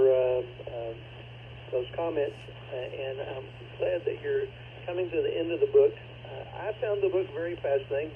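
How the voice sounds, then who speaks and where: Gravelly man running a ham radio net. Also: on the high side. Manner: measured, courteous, procedural. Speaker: net control operator. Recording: phone-like ham radio transmission with faint noise.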